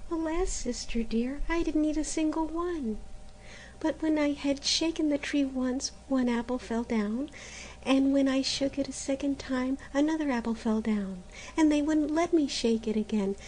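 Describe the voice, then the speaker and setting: A woman voicing timid girl Maruschka, soft and pleading. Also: high-pitched, moderate pace, voice pushed up, natural voice, low-quality recording. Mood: protesting.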